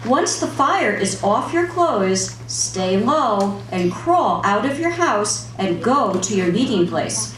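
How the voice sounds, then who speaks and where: A woman voicing a helpful puppet who gives deliberate, emphatic safety instructions in a children's show. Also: medium pitch, sing-song, a bit bright. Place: live show in a room, recorded from the audience. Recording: roomy, distant, noisy live show recording.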